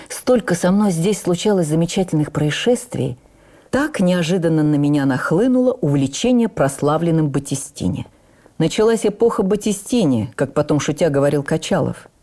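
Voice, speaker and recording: A woman reads a first-person memoir as dramatic radio narration, with theatrical, expressive phrasing. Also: on the low side. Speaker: actress reading a memoir in a radio production. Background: music under the voice.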